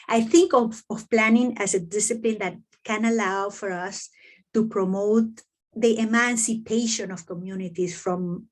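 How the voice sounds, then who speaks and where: Middle-aged woman from Venezuela, halting. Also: medium pitch, a little mellow. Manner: hesitant, many fillers and restarts. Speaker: professor presenting to admitted students. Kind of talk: online info session talk.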